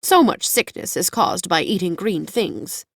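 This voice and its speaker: A woman's voice: lowish voice, expressive, unhurried, no room sound, clean studio sound. A woman voicing a fussy, anxious female hen with a prim, skeptical manner for an audiobook. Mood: prim, doubtful. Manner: prim, skeptical, easily alarmed. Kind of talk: audiobook character voice.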